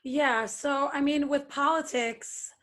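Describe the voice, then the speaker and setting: High-voiced woman podcast host. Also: fairly even intonation, slow pace, fairly bright tone. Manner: supportive, asks rambling questions. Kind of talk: conversational interview.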